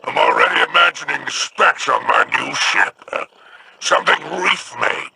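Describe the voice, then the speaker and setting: Smug scheming alien trader; sharp gravelly rasp. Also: male voice, a little high, lively intonation, normal speed, slight background noise, heavily acted. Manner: smug, wheedling. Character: sly scheming male alien trader, mocking.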